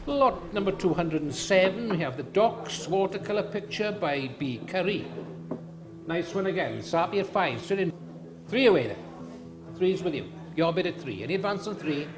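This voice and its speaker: A male auctioneer calling bids in a brisk, rhythmic patter. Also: high-pitched, lively intonation, over music.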